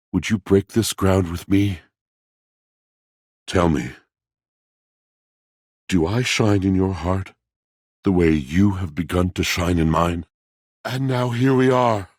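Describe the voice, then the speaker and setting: Game role: aging lovelorn washing machine. Slow, theatrical, gravelly bass, very dry close sound. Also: male voice, dry recording. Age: older. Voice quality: deep, gravelly. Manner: theatrical, solemn, flowery, drawn-out delivery. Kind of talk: video game character acting.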